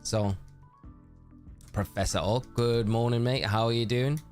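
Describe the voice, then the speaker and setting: A man's voice: mid-range voice, fairly even intonation, unhurried, a little mellow, soft background music. A man chatting casually on a live stream, greeting viewers by name and calling them mate. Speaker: streamer reading live chat. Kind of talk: casual live-stream chat while shiny hunting.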